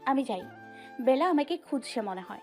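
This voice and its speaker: A woman's voice: high-pitched, lively intonation, normal speed, darkish tone, soft background music. A woman voicing a shy young woman in love who feigns indifference, coy and huffy, in an audio love story.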